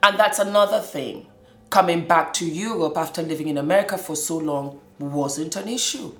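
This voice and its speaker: A woman who grew up in Scotland, hosting a show and talking through her own experiences in an animated way, often breaking into laughter. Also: deep voice, normal speed, echoey room, soft background music. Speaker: host. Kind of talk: casual personal monologue.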